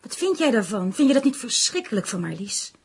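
An actress in a Dutch radio play playing a concerned, gossipy woman who confides dramatically in her friend, with a husky voice. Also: a little high, big pitch swings, a little fast, a little mellow, heavily acted. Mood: agitated, probing. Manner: dramatic, lingering over grim news.

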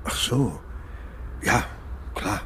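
A man's voice: drawn-out words, a bit bright, a little hiss or hum. An actor playing a subdued, grieving father, terse and hesitant, in radio drama style. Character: subdued, grieving father searching for his missing daughter.

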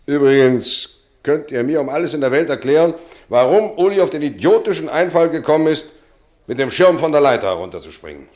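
An actor playing a kindly but firm boarding-school teacher, speaking calmly and reassuringly in a 1950s radio play. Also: male voice, on the high side, a little fast, close to an everyday voice. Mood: puzzled. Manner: calm, reassuring, gently firm.

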